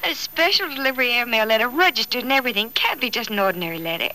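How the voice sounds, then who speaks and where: Spirited young daughter, pleading and insistent, vintage radio soap; bright, pressing tone. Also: female voice, fairly high pitch, lively intonation, racing, rough recording. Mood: impatient. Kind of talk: old-time radio soap acting. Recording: vintage radio drama recording.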